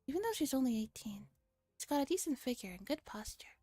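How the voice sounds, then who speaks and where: A woman streamer with a soft, often whispery voice, reading a visual novel in ASMR style with casual comments. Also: high-pitched, sing-song, fast, faint music behind.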